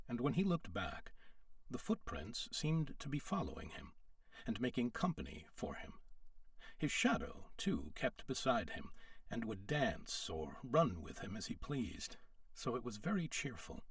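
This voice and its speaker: Male storyteller, expressive varied pitch, scripted storybook narration, slightly distant mic. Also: no echo, studio quality. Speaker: storyteller, audiobook reader.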